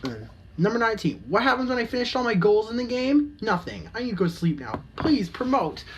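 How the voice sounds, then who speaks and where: A woman's voice: fairly high pitch, big pitch swings, a little fast, distant mic, slight background noise. A woman hosting a casual reaction commentary in a mocking tone, often stumbling and repeating words. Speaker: commentary host.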